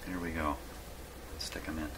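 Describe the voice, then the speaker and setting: Low-voiced man thinking aloud, noisy recording. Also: level tone. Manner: halting, muttering to himself, repeats words. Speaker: hobbyist radio repairer presenting his workshop video. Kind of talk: casual hands-on demonstration, thinking aloud. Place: radio repair workshop. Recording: clearly noisy workshop recording.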